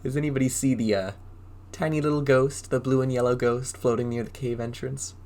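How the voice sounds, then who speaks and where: A man reading a story to young children as an acting teacher, expressive and often putting questions to his listeners. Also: medium pitch, fairly quick.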